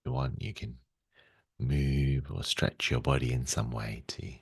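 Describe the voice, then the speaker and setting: A man leading a guided meditation in a gentle, soothing way, speaking slowly and softly with long pauses. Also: rumbling low, very animated, vocal fry, slightly rough, no echo, clean studio sound.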